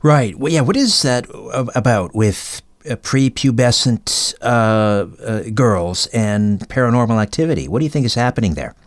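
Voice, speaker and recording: Male interview host, mid-pitched, casual and halting in his questions, dry close recording. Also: lively intonation, dry recording. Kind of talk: conversational interviewing.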